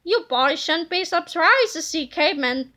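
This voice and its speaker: Woman playing a haughty, high-pitched cartoonish male villain. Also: expressive, a little slow, exaggerated voice. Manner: boastful, loud, sneering. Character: haughty villain inventor in giant robotic pants, male, sneering.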